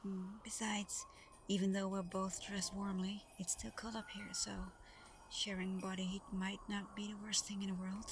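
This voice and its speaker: A woman playing Santa's gentle, shy adopted daughter in an intimate ASMR roleplay, soft-spoken, tender and a little hesitant. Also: mid-range voice, level tone, moderate pace, close to an everyday voice. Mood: soft, teasing. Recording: clearly noisy background ambience.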